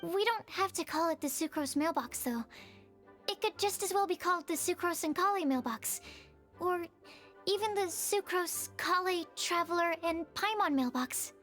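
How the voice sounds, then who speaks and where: A woman's voice: very high voice, moderate pace, a bit bright, faint music behind. A woman playing a shy, studious young female alchemist in a game cutscene, earnest and hesitant. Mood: earnest, tentative. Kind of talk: video game cutscene acting.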